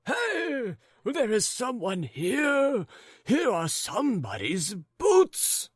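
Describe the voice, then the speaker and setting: Man doing a fussing old gentleman. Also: high-pitched, slow, creaky, no room sound, clean studio sound, pitched above the performer's own voice, exaggerated voice. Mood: flustered. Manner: fussing, excitable. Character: doddering, fretful old gentleman husband.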